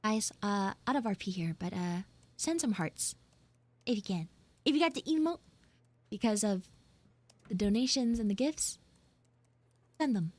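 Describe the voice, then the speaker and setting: A woman streamer talks casually to her viewers at a medium pitch. Her delivery is informal and a bit halting, and the sound is close and dry. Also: lively intonation, darkish tone, dry recording. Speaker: livestreamer and VTuber host.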